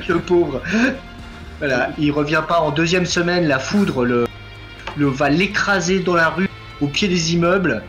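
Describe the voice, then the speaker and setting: A man's voice: a little high, a little slow, long pauses, fairly bright tone, soft background music. Hesitant man running a tabletop game. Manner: hesitant, many fillers. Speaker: game master.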